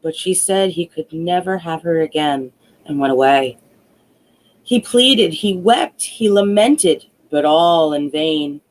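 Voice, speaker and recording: A woman narrating a fairy tale as a storyteller, deliberate and dramatic. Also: deep voice, expressive, poor audio.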